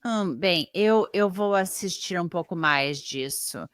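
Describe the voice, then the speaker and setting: A woman hosting an interview, asking questions in a measured, conversational way. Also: fairly low pitch, fairly bright tone, smooth, no room sound, clean studio sound.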